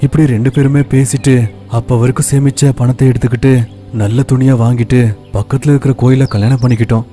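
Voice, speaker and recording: A man playing a poor, regretful young husband who tells his tale in a measured, earnest way for an animated bedtime story. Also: mid-range voice, soft background music, natural voice.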